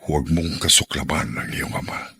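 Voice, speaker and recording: A Filipino actor playing an ailing, remorseful father in a radio drama, grave, halting and earnest. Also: rumbling low, steady tone, gravelly, bright, forceful tone, breathy edge, heavily acted. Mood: grave, pleading. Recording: music under the voice.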